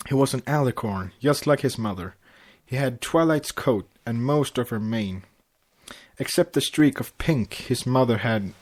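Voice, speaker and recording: A man narrating a story aloud as an amateur podcast host, tripping over words and correcting himself. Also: mid-range voice. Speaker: podcast host reading fan fiction aloud.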